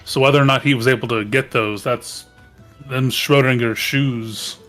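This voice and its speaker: A man's voice: mid-range voice, fairly even intonation, normal speed, fairly bright tone. A man chatting casually as a player in a tabletop game, hesitant and thinking aloud. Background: music.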